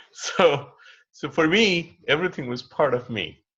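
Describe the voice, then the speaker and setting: A man's voice: a little high, a bit bright, slightly rough. A man from India, candidly sharing his experience in a rambling, easygoing way over a video call. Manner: candid, rambling, laughs easily. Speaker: participant. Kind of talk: personal sharing. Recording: lo-fi web call audio.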